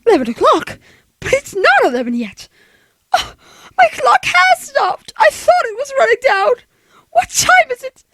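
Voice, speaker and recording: Scared bossy sick wife home alone, old radio thriller, super high voice, dry close sound. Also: female voice, wildly swooping pitch, moderate pace, pauses often, no room sound, exaggerated voice. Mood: alarmed. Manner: imperious, nervous, dramatic. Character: frightened, demanding invalid wife alone at night.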